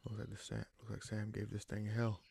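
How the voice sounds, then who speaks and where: A man playing a gruff but caring human bandit leader in a fantasy audio roleplay, wry and protective, with informal, halting phrasing. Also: completely flat delivery, darkish tone, a little hiss or hum. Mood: wry, determined. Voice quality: gravelly, husky low voice.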